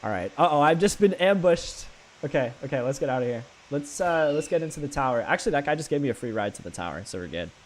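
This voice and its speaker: A young man from Canada, live-streaming a flight game and chatting with viewers in a stammering, excitable way. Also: fairly high pitch, fast talker, a little hiss or hum. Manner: animated, stammering, talks to chat. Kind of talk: live gameplay stream chat.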